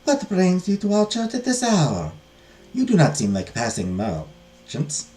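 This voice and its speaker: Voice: medium pitch, huge pitch swings, unhurried, dark tone, distant mic, voice pushed up. A performer voicing a kindly, easily shocked older nun who exclaims and draws out her words in a storybook reading. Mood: curious.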